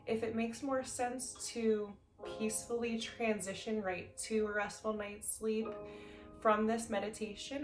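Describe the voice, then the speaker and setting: A woman leading a guided sleep meditation as an instructor, speaking gently in slow, measured phrases with long pauses. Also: medium pitch. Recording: roomy echo, faint music behind.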